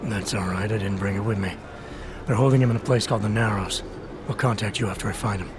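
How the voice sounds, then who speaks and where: An actor playing a stoic, terse augmented agent in a video game, with a controlled, deadpan delivery. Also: male voice, on the low side, fast, heavy background noise, lightly acted. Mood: terse, calm. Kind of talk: video game cutscene acting.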